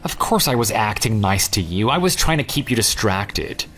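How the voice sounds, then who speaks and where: Sarcastic, animated jilted man, played by an actor. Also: lowish voice, moderate pace, slightly rough, soft background music, full character voice. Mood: smug. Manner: sarcastic, taunting. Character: vengeful jilted ex-fiancé, sarcastic and coldly smug. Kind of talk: dramatized phone dialogue.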